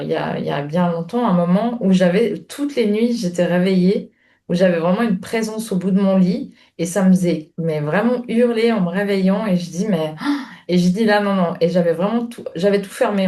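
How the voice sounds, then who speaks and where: Woman chatting with halting fillers. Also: lowish voice. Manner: warm, hesitant, many fillers. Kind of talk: conversational talk.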